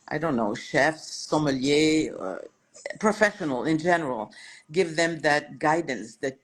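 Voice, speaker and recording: A woman's voice: low voice, low-quality recording. Older French-speaking woman, reflective. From Lebanon. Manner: warm-hearted, reflective, with many fillers and restarts. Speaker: guest, restaurateur. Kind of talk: podcast interview answers.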